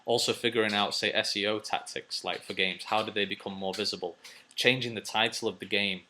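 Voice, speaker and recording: A man's voice: on the low side, steady tone, brisk, sharp tone, a little hiss or hum. A man hosting a casual, unscripted advice video, answering viewers as he thinks aloud, rambling and repeating phrases.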